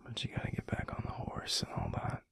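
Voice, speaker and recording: A man voicing a gentle, protective alpha werewolf boyfriend in an intimate ASMR roleplay, murmuring slowly and haltingly in a breathy, gravelly near-whisper. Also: lightly acted. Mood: calm, reflective. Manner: slow, halting, soothing murmur with pauses.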